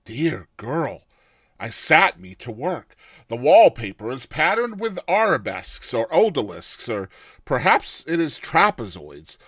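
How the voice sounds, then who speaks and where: A man narrating a classic short story in a theatrical, expressive first-person style, like a dramatized audiobook. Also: medium pitch, a little slow, slightly rough, no echo, clean studio sound.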